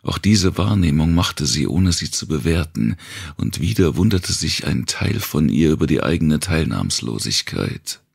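A man reading scripted narration for a dark thriller audiobook. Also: extremely low pitch, big pitch swings, a little slow, vocal fry, husky.

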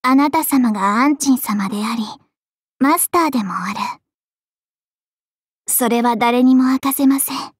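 A voice actress playing a devoted, possessive young dragon maiden in love, speaking politely and deliberately in anime game voice lines. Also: female voice, high-pitched, breathy edge, no room sound, studio quality, heavily acted. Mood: intimate, loving. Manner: polite, genteel, softly deliberate.

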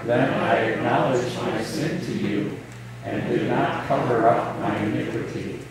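A middle-aged man leads a church service in a slow, solemn liturgical cadence. His voice sits in a middle register. It reaches the microphone from a distance in a strongly echoing sanctuary, over a faint hum. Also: medium pitch, fairly even intonation, a loud crowd. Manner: measured, solemn liturgical delivery. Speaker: pastor. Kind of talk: liturgy and scripture reading. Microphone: distant camera, later lectern mic.

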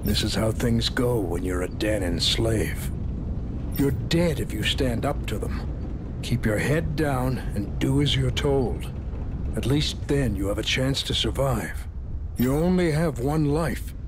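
A man's voice: expressive, close to an everyday voice. Man playing a weary older doctor, noisy background. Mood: resigned, admonishing. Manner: measured, resigned, admonishing. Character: weary, cautious older male slave village doctor. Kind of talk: video game voice acting. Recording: noisy rumbling game background.

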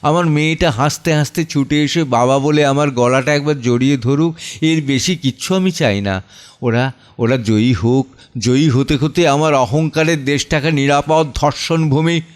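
A man playing an anguished, protective middle-aged father in an audio drama monologue, with halting, emotive delivery full of pleas and pauses. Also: fairly high pitch, close to an everyday voice. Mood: anguished, pleading. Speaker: voice actor and reciter. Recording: music under the voice. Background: background music.

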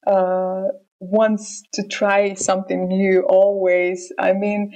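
Slow, gravelly Russian-accented woman. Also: mid-range voice, level tone, a little mellow. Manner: measured, hesitant with frequent ums. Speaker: band member interviewed. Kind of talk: casual interview answers.

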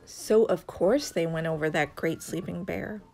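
Slow-paced woman reading a children's story. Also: on the low side, vocal fry, a little mellow. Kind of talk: scripted picture-book read-aloud. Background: music.

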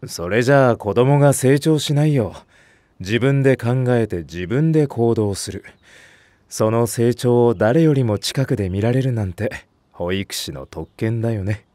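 A man voicing a calm, fatherly senior nursery teacher in a voice drama, patient and gently firm. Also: medium pitch, unhurried, no echo, natural voice. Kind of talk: Japanese voice drama acting.

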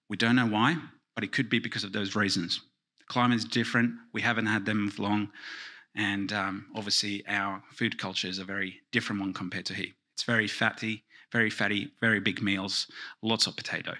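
A man in his thirties from Finland giving a joking conference keynote in a large lecture hall, speaking into a handheld microphone and dropping into quiet asides. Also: lowish voice. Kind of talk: conference talk with jokes.

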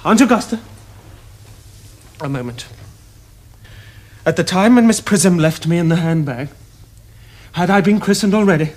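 An actor playing an excitable, earnest young Victorian gentleman with an upper-class English accent, in formal theatrical diction for a television period comedy. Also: high-pitched, measured pace, long pauses. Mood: anxious, urgent. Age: young adult.

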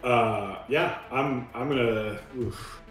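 A man's voice: mid-range voice, slow, fairly bright tone, soft background music. A man playing a tabletop game, casually and hesitantly working out his move aloud. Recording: very echoey, far from the mic.

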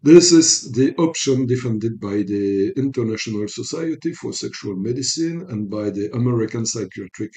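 A middle-aged man from Belgium with a French accent, a psychologist giving a careful, measured recorded lecture over slides from a desk webcam at home. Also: lowish voice, darkish tone, poor audio. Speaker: psychologist and sex therapist.

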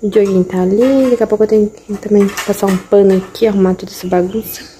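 A woman's voice: medium pitch, steady tone, quick pace, warm tone, slight background noise. A young woman chatting casually to camera as a home vlogger, often tagging on little questions to her viewers. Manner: chatty, ends phrases with tag questions to viewers. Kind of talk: casual vlog chat to camera. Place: house interior, garage and kitchen. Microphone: handheld camera at arm's length.

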